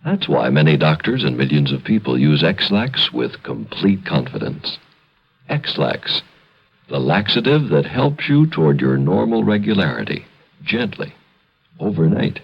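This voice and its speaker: A man's voice: very deep voice, sing-song, unhurried, vocal fry, husky. A man reading a scripted 1950s radio advertisement as a commercial announcer. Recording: muffled old radio recording.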